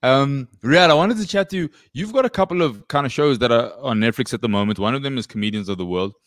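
A man's voice: mid-range voice, animated, fast, dry recording, studio quality. A man in his thirties hosting a casual video-call interview, laid-back and joking, speaking into a desk microphone at home. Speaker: host. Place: home room with bookshelves.

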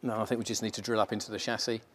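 A man's voice: fairly low pitch, level tone, racing, a bit bright, slight background noise. A man in his forties, co-hosting a van build and explaining the fitting in an easygoing, practical way, in a large indoor workshop. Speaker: van conversion co-host. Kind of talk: casual workshop chat.

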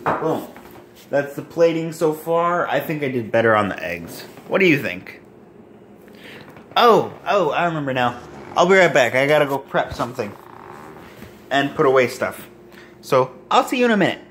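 A man in his twenties casually demonstrating home cooking to camera in a halting, rambling, self-deprecating way. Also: medium pitch, sing-song, fairly bright tone. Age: 20s. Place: small home kitchen and dining room. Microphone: handheld or propped phone near arm's length. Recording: roomy echo, kitchen noise with sizzling.

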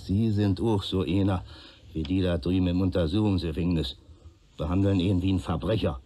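An actor playing a sullen, cynical arson suspect in a German radio play, grumbling in colloquial speech. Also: male voice, low voice, moderate pace, warm tone. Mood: cynical, aggrieved.

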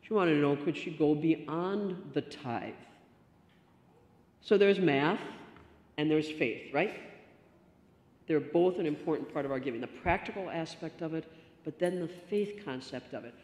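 A middle-aged woman pastor giving a stewardship talk from the lectern, telling a story with lively gestures. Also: low voice, a little slow, long pauses. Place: church sanctuary. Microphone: stand mic at lectern. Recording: echoey church hall reverb.

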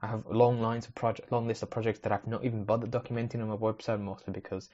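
A man's voice: fairly low pitch, flat delivery, fast talker, darkish tone, highs cut off. A young man whose first language is Spanish, hosting a casual solo podcast and thinking aloud as he rambles and corrects himself. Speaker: podcast host.

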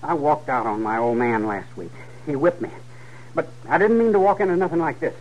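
An actor playing a defiant, earnest teenage frontier son with a rural American Western drawl in a 1950s radio western. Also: male voice, on the high side, expressive, fairly quick, lo-fi sound, close to an everyday voice. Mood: earnest, uneasy. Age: young adult. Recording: old 1950s radio recording.

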